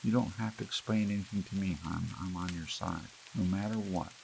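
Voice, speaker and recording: A man's voice: sits low, a little fast, creaky, a little mellow, slight background noise, voice pushed up, low-quality recording. A man voicing a loving, protective wife in a storybook, tender but insistent. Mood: tearful, comforting.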